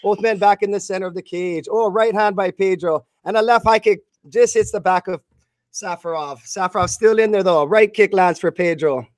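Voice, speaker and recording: A man's voice: sits high, normal speed, no echo. A man doing live blow-by-blow radio commentary on a fight in short bursts.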